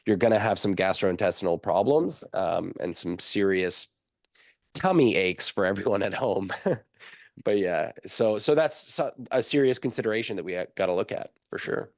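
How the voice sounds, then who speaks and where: A young man talking as a guest on a podcast, explaining things in a casual, quick way with lots of fillers. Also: on the low side, lively intonation, no echo, clean studio sound. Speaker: guest, nutritionist.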